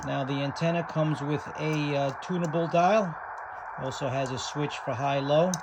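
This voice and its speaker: A man giving a casual, unscripted hands-on product demo, halting and pausing as he goes. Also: mid-range voice. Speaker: radio hobby reviewer, video host. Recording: loud radio static and hiss behind. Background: shortwave radio noise.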